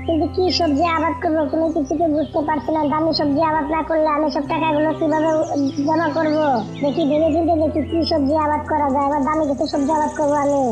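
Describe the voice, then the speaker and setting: A woman's voice: high voice, flat delivery, quick pace, lightly acted. A woman voicing an anxious, pleading mother tailorbird in a cartoon, earnest in manner. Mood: worried. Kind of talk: cartoon character dialogue. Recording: music behind the voice.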